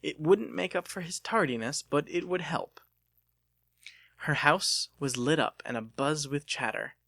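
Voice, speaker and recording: A man's voice: a little high, unhurried, pushed and bright, dry recording, clean studio sound. A man narrating an audiobook as a dramatic scripted reading.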